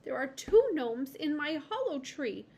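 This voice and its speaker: A woman voicing a small, miserable lizard who pleads in a high, whiny voice. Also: expressive, moderate pace.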